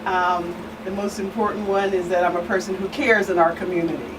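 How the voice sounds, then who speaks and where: A woman speaking as an expert guest in an interview, with a hesitant, pausing delivery. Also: fairly low pitch, steady tone. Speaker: guest expert, attorney and reverend. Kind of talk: interview talk. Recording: slightly distant, clear background noise.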